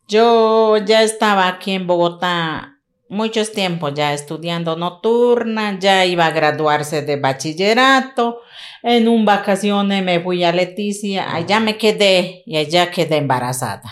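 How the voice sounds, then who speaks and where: A woman's voice: lowish voice, a little slow. A middle-aged woman, informally recalling her life in an interview. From Colombian Amazon. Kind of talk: informal personal recollection.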